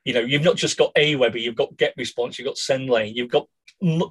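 A man with a croaky voice, co-hosting a casual business podcast and often checking in with "yeah?". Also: mid-range voice, a little fast, a bit bright. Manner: addresses listeners as guys, ends lines with "yeah?". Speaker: podcast co-host.

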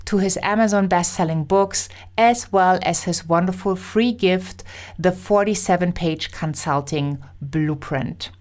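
A woman's voice: on the low side, a little mellow. A woman hosting a podcast, asking stumbling questions and giving an upbeat promotional wrap-up. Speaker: podcast host. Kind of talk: podcast interview and outro.

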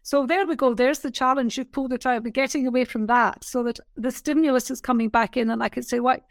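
A woman's voice: sits high, fairly even intonation, fast, no room sound, clean studio sound. An older woman business mentor from England, speaking reflectively in an interview and repeating key phrases for emphasis.

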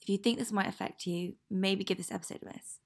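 A woman hosting a podcast interview as a journalist, with a friendly, clear manner. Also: mid-range voice, steady tone, quick pace. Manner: friendly, clear scripted intro. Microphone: video call.

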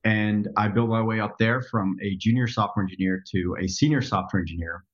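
A man in his thirties from the Netherlands, a podcast guest telling his story in a reflective, halting way with many restarts. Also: fairly low pitch, steady tone, highs cut off. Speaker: podcast guest, software developer. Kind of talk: casual interview storytelling.

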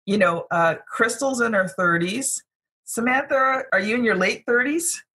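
A woman's voice: on the high side, measured pace, bright, forceful tone, breathy edge, poor audio. A woman in her fifties hosting a casual group discussion, encouraging the others and steering the conversation. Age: 50s. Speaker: host.

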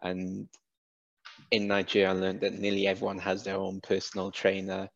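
A man's voice: sits low, completely flat delivery, moderate pace, creaky. A man from the UK with a British accent, chatting as a podcast guest and hesitantly correcting himself as he goes. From UK, Wales. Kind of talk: casual conversational interview. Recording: slightly dull, lo-fi sound.

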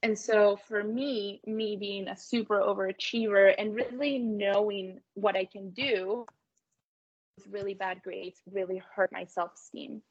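A woman's voice: medium pitch, steady tone, a little slow, dry recording, muffled recording. A woman from Colombia whose first language is Spanish, speaking earnestly and effusively as an interview guest, telling her story in a conversational way. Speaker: interview guest, tech company co-founder.

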